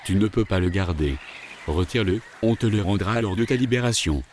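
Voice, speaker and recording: A man playing a stern, matter-of-fact kidnapper who translates for his boss in an audio drama, measured and firm. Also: on the low side, a little mellow, a little hiss or hum, natural voice.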